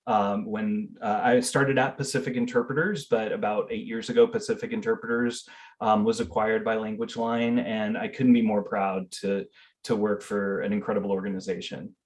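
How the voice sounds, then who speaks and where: A man's voice: on the low side, level tone, moderate pace. A middle-aged man who grew up speaking Spanish, telling stories in a casual interview with many fillers and restarts. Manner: earnest storytelling with fillers and restarts. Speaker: interview guest.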